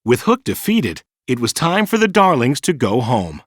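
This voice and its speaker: A man reading a children's storybook in an animated, dramatic storytelling manner. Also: medium pitch, normal speed, no room sound, clean studio sound. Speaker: storybook narrator. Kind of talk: scripted children's storybook narration.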